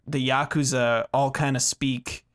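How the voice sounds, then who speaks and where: A man with a mid-pitched voice in loose, rambling conversation as a gaming podcast co-host. He talks casually, circles around his points and keeps a joking tone. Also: level tone, unhurried, a bit bright. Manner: rambling, filler-heavy, joking. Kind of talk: casual rambling chat about video games.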